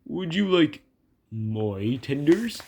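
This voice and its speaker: A man plays a small tank engine from a storybook. He is bright and cheeky. His teasing is delivered with mock innocence. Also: medium pitch, lively intonation, a little slow, a little hiss or hum, close to an everyday voice. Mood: mock-innocent.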